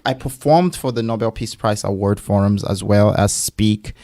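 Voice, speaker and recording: A man's voice: fairly low pitch, moderate pace, no room sound, clean studio sound. A man, a singer and podcast guest, talking casually and emphatically about his music and life. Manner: animated, emphatic storytelling with laughs.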